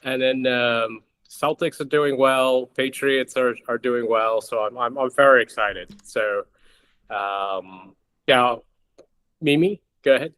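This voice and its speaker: Middle-aged male guest from Boston, slow and halting, casual interview talk. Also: medium pitch, a bit bright, clear, resonant tone. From Boston, United States. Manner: halting, pausing often mid-sentence. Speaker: guest, company founder and investor. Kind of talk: casual introduction in an online interview.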